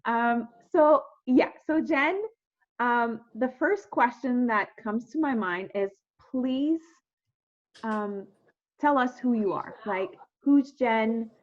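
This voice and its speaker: A woman's voice: fairly high pitch, takes it slowly, lo-fi sound. Chatty woman hosting a video call. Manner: chatty, upbeat, frequent fillers and restarts. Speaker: podcast host. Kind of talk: casual interview chat.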